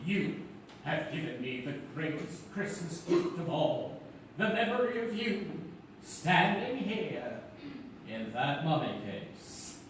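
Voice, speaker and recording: A man's voice: fairly high pitch, big pitch swings, takes it slowly. A man acting an imperious, scheming radio celebrity in a comic stage play, grandiose, commanding and mock-sweet. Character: Sherry Whiteside, imperious, scheming, theatrical celebrity radio wit. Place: theatre stage before a live audience. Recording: echoey theatre stage from far off, faint noise.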